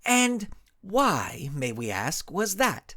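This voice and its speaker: A man voicing an earnest, formal superhero who questions firmly and deliberately in a story. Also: sits high, huge pitch swings, sharp tone, no echo, clean studio sound, voice pushed up, heavily acted. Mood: probing, firm. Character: earnest male superhero, measured questioner of the team.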